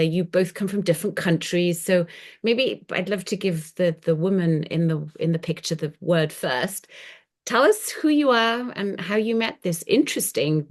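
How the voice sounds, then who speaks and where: A woman hosting a women's circle, asking guests about their relationship and gently prompting their stories. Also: mid-range voice. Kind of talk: interviewing guests.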